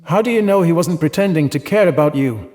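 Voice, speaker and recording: A man reading a dramatized science fiction story in the first person, earnest and measured with a dramatic delivery. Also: fairly high pitch, expressive, darkish tone, dry recording, faint music behind. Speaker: audiobook narrator.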